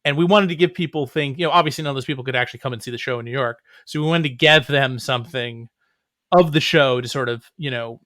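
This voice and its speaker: Middle-aged guy doing a casual interview, bright punchy voice, dry close sound, kinda wry. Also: medium pitch, quick pace, dry recording. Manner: wry, joking. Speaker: comics writer and playwright, interview guest.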